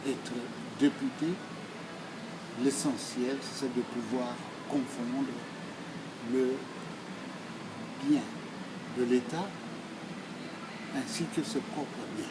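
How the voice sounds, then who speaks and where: A man in his sixties with a Congolese accent, giving formal answers in a deliberate, emphatic, oratorical way, phrase by phrase. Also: mid-range voice, background noise. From Democratic Republic of the Congo. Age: 60s. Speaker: speaker answering questions.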